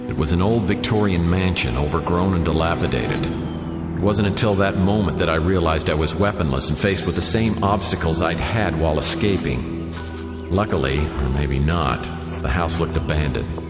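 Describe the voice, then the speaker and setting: Actor as deep-voiced hardboiled male detective. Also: normal speed, natural voice. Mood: wry, brooding. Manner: wry, deadpan, self-assured. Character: wry, cocky hardboiled male private detective. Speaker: narrator and lead character. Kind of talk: noir radio drama narration. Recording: reverberant studio sound with music underneath.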